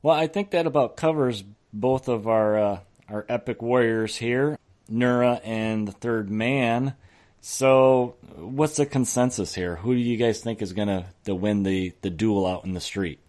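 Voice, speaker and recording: A man with a fairly low voice hosts a casual gaming podcast. He rambles along conversationally, starting thoughts and letting them trail off before picking them up again. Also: unhurried, darkish tone. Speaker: podcast host.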